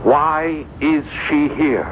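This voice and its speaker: An actor playing a tormented, obsessive French writer in a radio drama, speaking with intense, halting, brooding delivery. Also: male voice, fairly high pitch. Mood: brooding obsession. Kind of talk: 1970s radio drama acting. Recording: muffled, noisy vintage radio broadcast.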